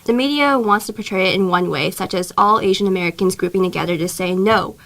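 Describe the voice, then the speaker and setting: A young woman hosting a college radio talk show, welcoming guests and explaining issues in a measured way. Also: mid-range voice, gravelly, a bit bright, low-quality recording. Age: young adult. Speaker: host.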